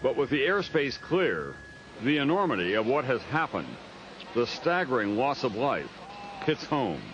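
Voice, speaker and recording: A man with a mid-pitched voice reads scripted documentary narration. He is the reporter telling the story. The recording is dull and lo-fi, with faint noise underneath. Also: sing-song, unhurried.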